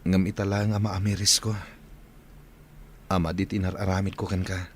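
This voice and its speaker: A man acting an excitable, apologetic young village son in an Ilocano radio drama, with an Ilocano accent and an emotive delivery. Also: low voice, normal speed, a little hiss or hum, natural voice. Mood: regretful, earnest.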